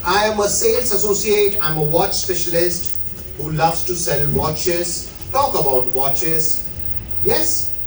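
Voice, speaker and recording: A man's voice: a little high, expressive, slow pace. A middle-aged man from India with an Indian English accent, giving a chatty talk to a live audience and asking them questions. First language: not English. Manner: chatty, asks the crowd questions. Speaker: watch specialist presenter. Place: room at a live event. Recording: echoey room, speaker far from mic.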